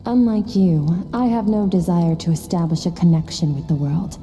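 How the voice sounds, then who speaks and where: An actress in a video game playing an aloof, coolly commanding woman warrior mentor, detached and measured. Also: on the low side, dark tone, soft background music, lightly acted. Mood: cold, disdainful.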